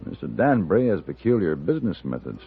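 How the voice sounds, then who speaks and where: Casting: a commanding hired gun of a radio western, low voice with lively swings, dry delivery. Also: male voice, fast. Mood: dry, polite. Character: cool, self-assured hired gunfighter of the Old West. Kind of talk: 1950s radio western acting and narration. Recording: dull vintage radio broadcast with music.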